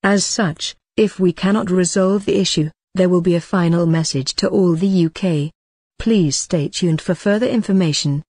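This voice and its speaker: A woman voicing a formal emergency broadcast announcer, reading a scripted alert in a grave, measured, deliberate way. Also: fairly low pitch, darkish tone, breathy edge, dry recording, studio quality.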